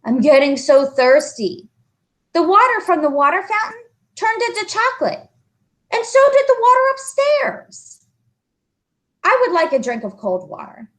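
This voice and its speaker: A woman's voice: very high voice, very animated, a little slow, fairly bright tone, pitched above the performer's own voice, heavily acted. A woman voicing an anxious schoolboy in a storybook, earnestly pleading and protesting that he is telling the truth. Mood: polite, plaintive. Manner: earnest, protesting, swooping upward in emphasis.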